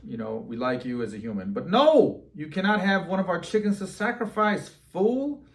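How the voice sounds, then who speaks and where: A man's voice: high voice, very animated, normal speed, distant mic, voice pushed up. A man voicing blunt punk rock women in a spoken story, friendly but emphatic in refusal. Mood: firm refusal.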